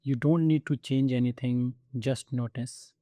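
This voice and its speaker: Very dark, soft-toned man guiding meditation. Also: medium pitch, steady tone, dry recording, studio quality. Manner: soothing, gentle instruction with measured pauses. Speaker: meditation guide. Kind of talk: guided body scan meditation.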